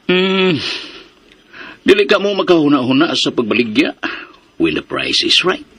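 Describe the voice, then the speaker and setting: A smug Cebuano-accented Filipino schemer in a radio drama. His raspy, sharp voice sits on the high side and swings boastfully as he draws out his taunts. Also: huge pitch swings, exaggerated voice. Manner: smug, taunting, drawn-out delivery with English phrases. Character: boastful, scheming male land buyer pressing a mother. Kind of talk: Philippine radio drama acting.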